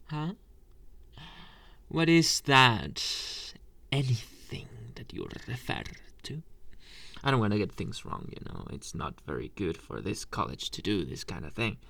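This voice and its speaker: Man playing a slow, creaky-voiced flirtatious teacher. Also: medium pitch, a bit bright, no echo. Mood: conspiratorial, flirty. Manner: slow, teasing, hesitant pauses, murmured asides, pet names. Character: flirtatious anime teacher, adult male, coy and teasing. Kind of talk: ASMR roleplay spoken to the listener.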